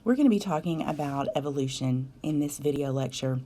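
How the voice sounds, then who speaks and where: A woman from the South, a biology instructor giving a recorded video lecture in a voice hoarse from a cold, measured and explanatory with frequent ums. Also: sits low, lively intonation, mellow. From American South.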